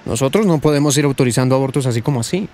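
A man's voice: mid-range voice, rapid-fire, a little mellow, lightly acted. A man playing a formal, officious hospital doctor in a radio drama, firm and dismissive, with a Colombian accent. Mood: firm, cautious.

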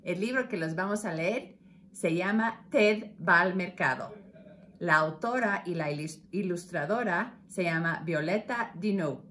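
A woman's voice: on the low side, a little slow, a bit bright. A woman speaking to children on a home video as their teacher, introducing a story. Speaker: teacher introducing a read-aloud. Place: furnished room at home. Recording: faint background noise.

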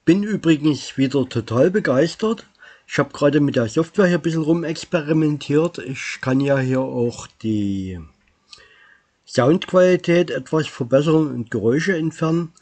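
A middle-aged man talks casually to his vlog viewers. His voice sits a little on the high side for a man. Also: animated, moderate pace, a little mellow. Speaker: vlogger.

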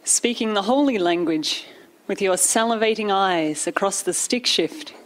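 A woman performing spoken word poetry live, with measured, deliberate phrasing and pauses. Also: medium pitch, poor audio. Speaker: poet. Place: live poetry night venue.

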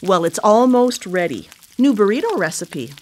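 An actress playing a brisk, sardonic older sister and proud home cook in a contemporary radio drama, with a dry, teasing manner. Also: female voice, mid-range voice, very animated. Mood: apologetic, annoyed.

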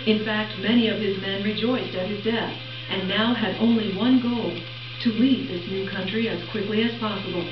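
Woman, documentary narrator, measured and informative, roomy echo, music behind. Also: mid-range voice, fairly even intonation. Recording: echoey, dull film audio with music.

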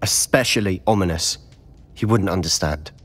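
A man's voice: deep voice, moderate pace, fairly bright tone. A young man with a British accent playing a defiant, secretive teenage wizard in a video game, earnest and insistent. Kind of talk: video game cutscene acting.

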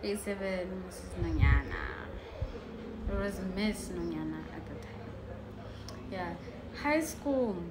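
A woman's voice: fairly low pitch, animated, takes it slowly, long pauses, very noisy. A young woman in her twenties with a South African accent, chatting casually to camera at home and drifting into joking asides. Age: 20s. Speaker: YouTuber. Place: living room at home, indoors.

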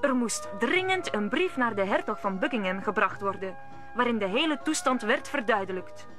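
A woman's voice: on the high side, animated, normal speed. A woman narrator telling the story of a radio play in scripted storytelling style. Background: music.

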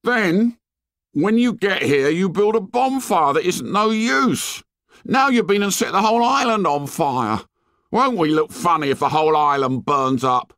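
Storybook schoolboy outsider: very high pitch, whining indignation, swooping delivery. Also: male voice, expressive, slow, vocal fry, fairly bright tone, no echo, studio quality, full character voice. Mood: indignant scolding. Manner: shrill scolding tirade in ungrammatical speech.

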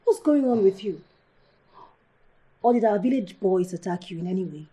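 An actress playing a caring, wounded, insistent wife in a film drama, with a Nigerian accent and a pleading, questioning manner. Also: female voice, medium pitch, animated, moderate pace, dark tone, breathy edge. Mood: concerned. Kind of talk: naturalistic film drama acting.

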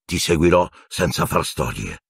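A man voicing a desperate, haggard male escaped convict in a storybook reading, hoarse and urgently hissing. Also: a bass voice, sing-song, normal speed, dry recording, clean studio sound, full character voice. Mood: defiant.

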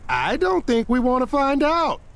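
A man's voice: extremely high pitch, huge pitch swings, normal speed, creaky, fairly bright tone, slight background noise, heavily acted. An actor playing a nervous, cautious young male superhero in a video game. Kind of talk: video game cutscene acting.

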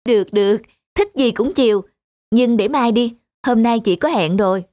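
Woman playing a breezy, high-voiced young professional. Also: normal speed, a little mellow, no echo, lightly acted. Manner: casual, confident. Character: breezy, popular young office woman, sociable. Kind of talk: animated drama dub.

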